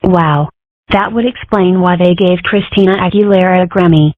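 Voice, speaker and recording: A woman's voice: lowish voice, fairly even intonation, moderate pace, no room sound, close to an everyday voice. Phone-like woman as a curious cartoon girl. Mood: puzzled. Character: inquisitive, dramatic young cartoon girl. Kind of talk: comic strip dub. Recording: muffled phone-like audio.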